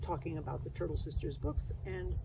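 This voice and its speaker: An older woman reading a children's story aloud outdoors, with deliberate, expressive delivery and asides. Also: deep voice, gravelly. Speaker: children's book author and storyteller. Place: outdoors on a sandy pond beach. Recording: outdoor ambient noise, somewhat distant.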